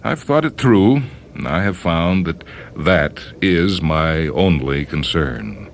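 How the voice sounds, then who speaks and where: A man playing a proud, dismissive male scientist in a story reading, with a formal, self-assured and measured manner. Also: low-pitched, lively intonation, vocal fry, a little hiss or hum, heavily acted. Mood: firm, dismissive.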